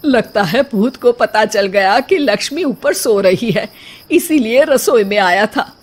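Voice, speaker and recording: A woman acts out a family elder in a comic household story. The voice is fairly high and the lines come slowly, as acted dialogue. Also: lively intonation, husky. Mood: wary. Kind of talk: slow story dialogue acting.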